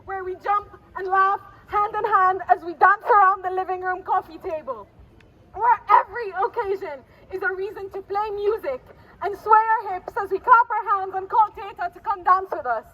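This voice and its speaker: Impassioned, very high-pitched woman speaker. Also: moderate pace, highs cut off. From Palestinian diaspora. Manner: impassioned, declamatory, repeating phrases. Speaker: protest speaker, poet. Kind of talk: spoken-word poem delivered as a public speech. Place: outdoors at a city street protest. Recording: noisy outdoor street with traffic ambience.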